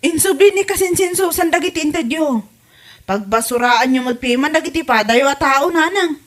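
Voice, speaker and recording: A woman's voice: high-pitched, expressive, moderate pace. A woman playing a dutiful, outspoken grown daughter in an audio comedy-drama, earnest and admonishing. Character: dutiful, outspoken grown daughter looking after her mother.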